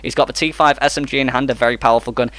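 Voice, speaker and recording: A man giving energetic, rapid play-by-play commentary on an esports match. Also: mid-range voice.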